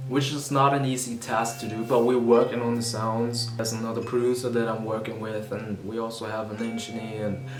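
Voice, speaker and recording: A man's voice: lowish voice, flat delivery, fairly quick. Young man, from Vietnam, rambling vlog talk, roomy echo, guitar music behind. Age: 20s. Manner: rambling, self-conscious, swears casually. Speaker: vlogger and musician. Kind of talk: rambling casual monologue to camera. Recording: roomy sound with music underneath.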